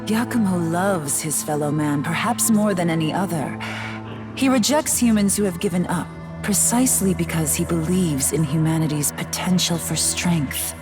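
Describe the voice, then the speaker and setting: An actress voicing an elegant, scheming fallen goddess in a video game, with a refined, condescending manner. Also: female voice, lowish voice, moderate pace, lightly acted. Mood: lecturing. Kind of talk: video game cutscene voice acting. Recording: game audio with music behind.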